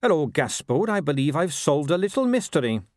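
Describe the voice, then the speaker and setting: A man's voice: fairly high pitch, very animated, fairly quick, darkish tone, no echo, clean studio sound, pitched above the performer's own voice. A man voicing an earnest, polite young watchman in an audiobook, courteous and slyly persuasive. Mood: cheerful. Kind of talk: audiobook character voice.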